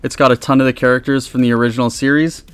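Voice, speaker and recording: A man's voice: mid-range voice, fairly even intonation, racing, smooth, dry recording, soft background music. A man hosting a countdown review in a casual, chatty, enthusiastic way, speaking close to the microphone. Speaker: video host and reviewer. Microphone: close USB microphone.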